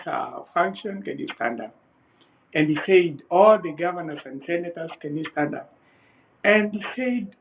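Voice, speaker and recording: A Kenyan man speaking earnestly as a panelist on a political talk show, often repeating himself. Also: on the high side. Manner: earnest, repetitive, mixing English and Swahili. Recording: tinny phone line with faint noise.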